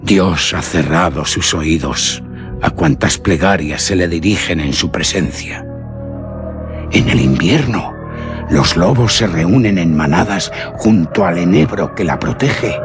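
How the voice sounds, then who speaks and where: Gravelly, ominous storyteller, music behind. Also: male voice, low voice. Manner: solemn, deliberate, dramatic. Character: grave male storyteller of an old devil legend, solemn and ominous. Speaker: narrator. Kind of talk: scripted narration, suspense radio theatre. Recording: background music under radio narration.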